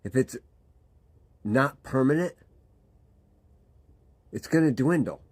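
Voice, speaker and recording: A man, a pastor giving a reflective devotional talk with pauses and restarts. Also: medium pitch, lively intonation, darkish tone. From Michigan, USA. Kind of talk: devotional sermon.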